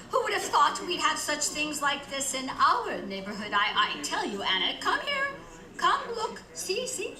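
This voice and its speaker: Shrill, nosy gossiping landlady. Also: exaggerated voice. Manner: excitable, chattering, fussy. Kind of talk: radio theater comedy acting. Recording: echoey room.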